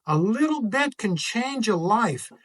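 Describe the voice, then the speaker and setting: A man's voice: high-pitched, very animated, a little slow, pitched above the performer's own voice, exaggerated voice. A man reading the part of a kind, thankful mother in a children's picture book. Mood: kindly, grateful.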